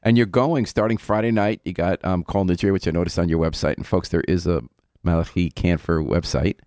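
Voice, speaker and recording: Low-voiced man hosting a joking interview. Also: fairly even intonation, brisk, darkish tone, no echo, clean studio sound. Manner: joking, chatty questions. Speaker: radio show host. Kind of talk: casual interview.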